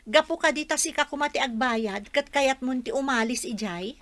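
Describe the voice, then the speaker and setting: Blunt wary roommate gal in ilocano radio play, high sharp voice. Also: female voice, moderate pace, no room sound. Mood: doubtful, objecting. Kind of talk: Ilocano radio drama acting.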